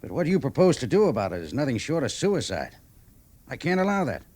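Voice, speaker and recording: Senior cavalry officer, medium pitch, formal and measured, a sober commander in a vintage radio western. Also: male voice, wildly swooping pitch, darkish tone. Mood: grave, opposed. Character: measured, reluctant male army major, superior officer. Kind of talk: classic old time radio drama acting. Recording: vintage radio drama recording.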